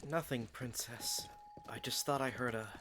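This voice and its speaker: Man voicing a young knight, terse, clipped, mid-pitched, faint noise. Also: steady tone, normal speed, a bit bright, lightly acted. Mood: tense, alert.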